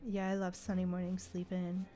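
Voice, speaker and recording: A woman's voice: lowish voice, monotone, normal speed, mellow, soft background music. A woman hosting a casual gaming livestream, chatting with viewers and thinking aloud in a playful, conversational way. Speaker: livestream host.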